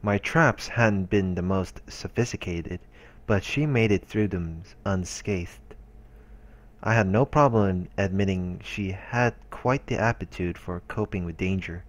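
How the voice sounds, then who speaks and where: A man's voice: deep voice, unhurried. A man reading first-person story narration aloud as the host of a visual novel playthrough.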